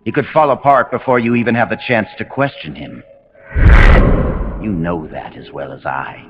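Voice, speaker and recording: An actor playing a cold, commanding rogue officer in a video game, speaking in measured, imperious monologues. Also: male voice, lowish voice, sing-song. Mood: cool, probing. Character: cold, commanding male rogue officer, grandiose engineered super-soldier. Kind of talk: dramatic video game voice acting. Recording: dull compressed game audio under loud music.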